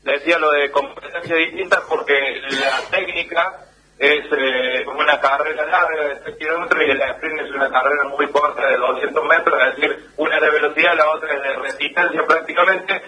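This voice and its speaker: A man's voice: on the high side, steady tone, fairly quick, bright, forceful tone, slight background noise, rough recording. A man working as a radio sports journalist who explains things at length and in an expansive way.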